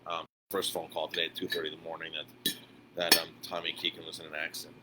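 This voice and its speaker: A man giving a casual, unscripted live update as a restaurant owner, speaking informally and haltingly and often repeating himself. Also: a bass voice, monotone. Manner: halting, repetitive, informal address to followers. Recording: clearly noisy background ambience.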